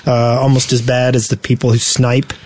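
A man co-hosting a gaming podcast, explaining things casually in a slightly halting way. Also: medium pitch, monotone, a little mellow, no echo. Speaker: podcast co-host.

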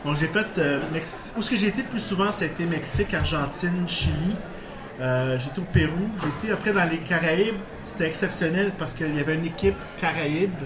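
A man's voice: fairly high pitch, fairly quick. Man in an echoey bar, Quebec French. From Portuguese background, living in Quebec. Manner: rambling, many restarts, chuckling. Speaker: guest. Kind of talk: casual storytelling interview. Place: bar with live audience. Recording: echoey bar with background noise.

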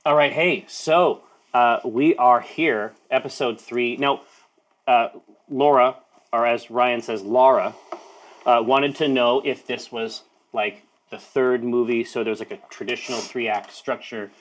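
A man hosting and running a tabletop role-playing game, playful and teasing, breaking into loud excited bursts. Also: medium pitch, measured pace. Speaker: game master.

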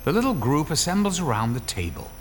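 A man's voice: fairly high pitch, big pitch swings, quick pace, slight background noise. A man playing the wry, ominous narrator of a scripted radio horror drama. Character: wry, ominous male narrator of a ghost story.

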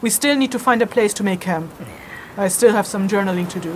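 A woman's voice: medium pitch, fairly quick, darkish tone, a little hiss or hum, close to an everyday voice, rough recording. A woman playing a stern, sturdy, short-tempered female furred wanderer in a fantasy audio drama, with a dry, scolding, commanding manner. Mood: dry, businesslike.

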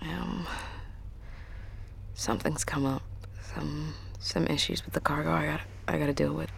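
An actress playing a troubled, guarded truck driver in an audio drama, talking haltingly and under strain. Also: female voice, low-pitched, fairly even intonation, gravelly, slight background noise. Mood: hesitant, guarded. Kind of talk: naturalistic audio drama acting.

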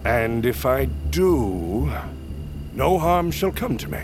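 An actor playing a sly, playful male bandit in an audio drama, teasing and theatrical in an unhurried way. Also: medium pitch, sing-song, over music. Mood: wry, bargaining. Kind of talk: immersive audio drama acting.

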